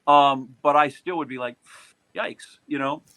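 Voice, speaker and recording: Mature American male sports veteran, bright forceful tone, halting, meandering podcast recollections. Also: on the high side, steady tone, poor audio. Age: middle-aged or older. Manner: halting, rambling, conversational. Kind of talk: casual reminiscing chat.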